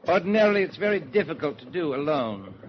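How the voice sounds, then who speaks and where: An actor playing a suave Nazi spy in a 1940s radio drama, formally courteous while coolly threatening. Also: male voice, on the high side, big pitch swings, fast talker. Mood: cool menace. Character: suave, menacing male Nazi spy holding hostages. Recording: muffled, noisy 1949 radio broadcast.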